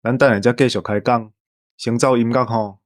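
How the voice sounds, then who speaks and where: A man's voice: mid-range voice, fairly even intonation, moderate pace, no echo, studio quality. A man in his forties with a Taiwanese accent, co-hosting in scripted casual banter. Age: 40s. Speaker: co-host.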